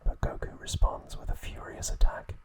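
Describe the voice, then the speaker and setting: A man narrating an ASMR recap in a soft, breathy whisper, with measured delivery in short phrases. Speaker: ASMR video host.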